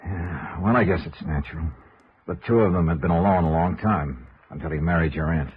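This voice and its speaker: Low-voiced hard-boiled radio detective. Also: male voice, a little fast, slightly rough. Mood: calm, probing. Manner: clipped, matter-of-fact. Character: cool, hard-boiled male insurance investigator. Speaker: radio actor, lead. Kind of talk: 1950s radio noir acting and narration. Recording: dull vintage radio recording, music behind.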